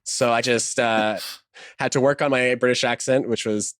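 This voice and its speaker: A man's voice: mid-range voice, fairly even intonation, normal speed, a bit bright, dry recording, clean studio sound. A man chatting casually as a podcast guest. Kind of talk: casual chat.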